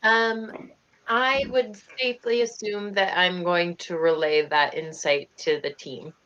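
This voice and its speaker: A woman's voice: fairly low pitch, fairly even intonation, a little slow, a bit bright. A woman chatting casually as a player in an online tabletop game, heard over a voice call. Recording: dull online call, faint noise and clicks.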